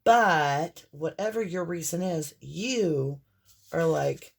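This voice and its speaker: Hoarse chatty woman reading tarot. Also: deep voice, lively intonation, slow. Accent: mixed Southern and Northern American. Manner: chatty, rambling, talks straight to the viewer. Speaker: tarot reader.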